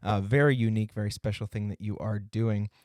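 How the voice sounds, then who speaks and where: A man's voice: fairly low pitch, steady tone, brisk, darkish tone, no echo, studio quality. A man hosting a podcast, interviewing a guest in a friendly way and laughing easily. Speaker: podcast host.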